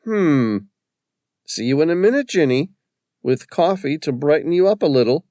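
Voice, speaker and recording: Man doing a joking male paramedic, higher, easygoing, lively, audiobook. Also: normal speed, no echo, clean studio sound, close to an everyday voice.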